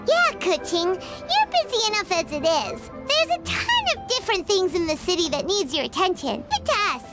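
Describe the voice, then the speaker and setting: A woman voicing a tiny, excitable fairy girl companion in a video game, squeaky, childlike and perky. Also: normal speed. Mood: confident, eager. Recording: game music behind the voice.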